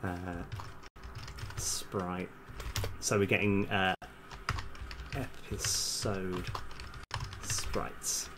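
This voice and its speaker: A man live-streaming as a game developer, casually thinking aloud in halting mutters as he works. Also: sits low, flat delivery, noisy background. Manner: halting, muttering to self while working. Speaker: game developer livestream host. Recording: music behind the voice. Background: music, computer keyboard typing.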